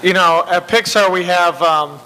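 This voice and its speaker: Bright-voiced man on a panel stage. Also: sits high, normal speed. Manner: wry, self-mocking, plays at quotes in funny voices. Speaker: animation film director, panelist. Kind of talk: candid panel talk. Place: panel stage in a large hall. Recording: reverberant hall with faint hum.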